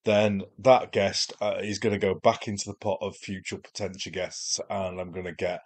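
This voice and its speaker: A man with a Yorkshire accent hosting a wargaming podcast, talking in a rambling, self-deprecating way, his voice chesty and hoarse from a chest infection. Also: deep voice, flat delivery, moderate pace. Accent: Yorkshire English. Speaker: podcast host. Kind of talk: casual solo podcast monologue.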